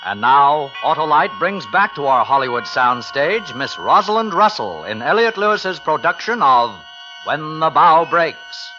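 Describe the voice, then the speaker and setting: A man's voice: fairly high pitch, big pitch swings, unhurried, bright, forceful tone. A man announcing a vintage radio drama in a formal, dramatic, scripted style. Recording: dull old radio recording, music behind.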